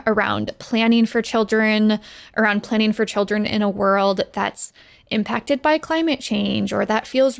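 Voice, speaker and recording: A woman's voice: mid-range voice, gravelly. An American woman in her thirties talking conversationally as an interview guest about her work. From Seattle, United States. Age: 30s. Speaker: podcast producer and guest.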